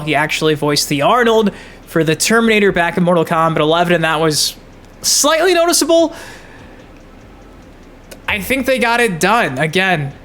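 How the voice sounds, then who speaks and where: A man's voice: high-pitched, fairly bright tone. A man giving casual, hyped gaming news commentary as a channel host, slangy and emphatic.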